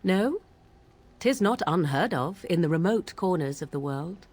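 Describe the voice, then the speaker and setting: A woman's voice: fairly low pitch, animated, a little slow, darkish tone, breathy edge. An actress playing an aloof, sardonic young witch from the wilds, speaking in a formal, archaic and haughty way in studio game dialogue. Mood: cool. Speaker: game companion character.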